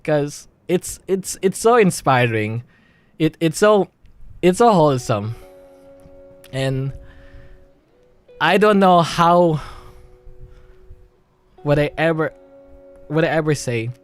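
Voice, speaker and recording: A man's voice: a little high, expressive, slow, pauses often, clean tone, soft background music. A young man from the Philippines with a Filipino accent, talking on a livestream in a rambling way, with many restarts and nervous laughs. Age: young adult. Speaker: streamer. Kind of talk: emotional livestream monologue.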